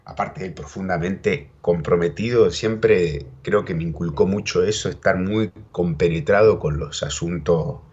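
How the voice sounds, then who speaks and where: A man's voice: low voice, a little slow, hardly any pauses, darkish tone, husky. A man with an Argentine accent, an interview guest telling stories in a rambling way, repeating words and tagging on little questions. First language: Spanish and English, bilingual. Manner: rambling, repeats words, keeps saying ¿viste?. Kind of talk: casual storytelling chat. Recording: dull lo-fi audio.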